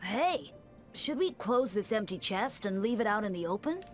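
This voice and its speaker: Woman voicing a lively mischievous young prankster. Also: medium pitch, normal speed, natural voice. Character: playful, devilish young male prankster game character. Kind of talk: video game voice line. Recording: game clip with music behind.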